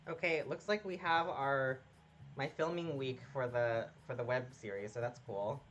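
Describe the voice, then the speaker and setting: A man's voice: medium pitch, moderate pace, a bit bright, dull audio, a little hiss or hum. A man casually commenting on a game he is playing live, chatting with viewers and greeting them by name, often laughing at himself. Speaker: streamer.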